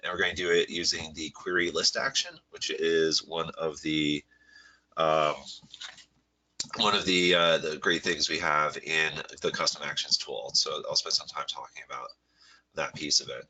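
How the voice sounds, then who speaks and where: A man with a head cold and a slightly nasal voice, presenting a live software webinar in a relaxed, rambling way full of fillers. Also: rumbling low, moderate pace, a little hiss or hum, poor audio. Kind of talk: live webinar walkthrough with screen share.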